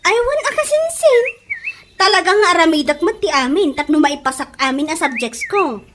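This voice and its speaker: A woman's voice: high-pitched, brisk, close to an everyday voice. A woman with a Filipino accent playing an eager, grateful young student and little sister in a radio comedy drama. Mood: cheerful. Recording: faint background noise.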